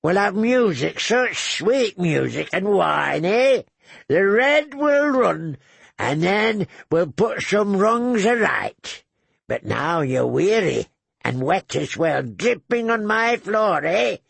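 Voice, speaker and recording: A man voicing a sly, crude, cackling old male lord with a gravelly voice who tags phrases with "eh?". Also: high-pitched, very animated, slow, a bit bright, no room sound, voice pushed up, exaggerated voice. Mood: bossy. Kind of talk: audiobook character voice.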